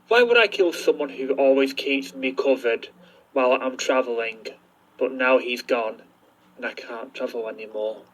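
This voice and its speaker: An adventurous archaeology professor played by a man speaks at a medium pitch. He is an earnest, lovestruck suspect in an amateur audio mystery. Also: fairly bright tone, low-quality recording. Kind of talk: amateur audio drama acting.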